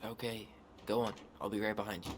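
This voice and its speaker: A man's voice: on the low side, level tone, fairly quick, background noise, natural voice. An actor playing a wry, guarded young man in a video game, dry and understated. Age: young adult. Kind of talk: naturalistic video game acting.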